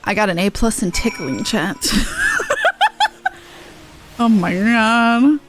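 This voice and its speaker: A woman livestreaming a game, giving casual, playful and expressive commentary with some swearing. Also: medium pitch, slow pace, over loud music, rough recording. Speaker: game streamer.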